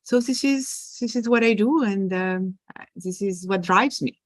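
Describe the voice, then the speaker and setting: A woman's voice: medium pitch, measured pace, no echo. A middle-aged woman from France with a French accent, speaking as a guest on a podcast about her work as a leadership coach, thoughtful and full of small restarts. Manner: thoughtful, with many fillers and restarts. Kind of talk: podcast interview.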